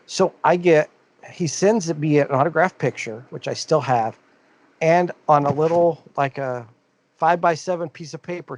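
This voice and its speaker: A middle-aged man from Ohio co-hosting a casual podcast, telling stories with rising bursts and mumbled asides. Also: mid-range voice. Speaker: podcast co-host. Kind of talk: casual chat.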